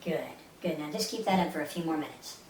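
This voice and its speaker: Female meditation guide, soothing and instructive, slightly low register, recorded off-mic with light hiss. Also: lively intonation, fast, a little mellow. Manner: soothing, instructive, repeats short phrases. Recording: roomy, distant mic with faint hiss.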